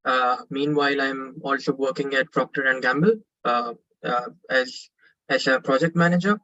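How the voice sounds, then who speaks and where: A man's voice: mid-range voice, steady tone, moderate pace, bright, forceful tone, muffled recording. A man in his twenties from Pakistan, asking an earnest, polite question on a webinar call with frequent fillers.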